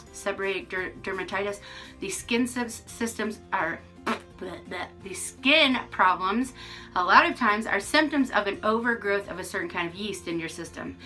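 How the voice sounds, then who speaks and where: A woman's voice: medium pitch, sharp tone. A woman in her forties giving a casual, frank vlog update to camera from her rural home, with lots of ums and restarts. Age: 40s. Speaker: vlogger.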